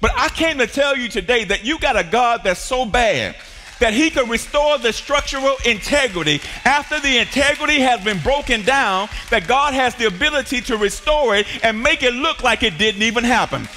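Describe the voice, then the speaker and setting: A man in his forties preaching a sermon on a large church stage, building to shouts and calling on the congregation to answer. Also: extremely high pitch, expressive, normal speed, soft background music. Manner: preaching with rising shouts and call-and-response. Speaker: pastor. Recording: long hall reverb.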